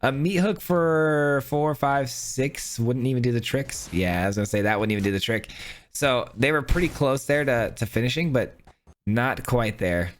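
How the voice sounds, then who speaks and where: A man giving casual, upbeat commentary while playing a card game, thinking aloud, his voice hoarse as he loses it. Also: mid-range voice, normal speed, a little hiss or hum. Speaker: gaming channel host. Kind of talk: casual live gameplay commentary.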